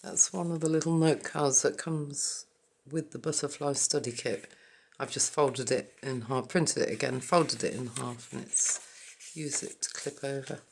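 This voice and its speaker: A hoarse woman hosting a papercraft channel, quietly naming each item in a casual journal flip-through with a croaky voice. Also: a bass voice, a little hiss or hum. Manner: quiet, halting, naming items one by one.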